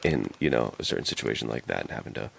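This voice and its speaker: Male podcast co-host, extremely deep voice with heavy fry, relaxed slangy conversational delivery. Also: fast talker. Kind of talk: casual chat about films and games.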